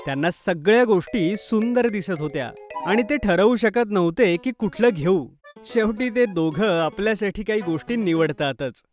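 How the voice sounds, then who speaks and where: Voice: mid-range voice, animated, moderate pace, faint music behind. A narrator reading a scripted children's story.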